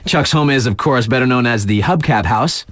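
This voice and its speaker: An actor playing a laid-back, wry mountain-town radio DJ in a video game, reading mock local news with casual, slangy deadpan asides. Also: male voice, medium pitch, moderate pace, no echo, studio quality, close to an everyday voice. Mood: amused.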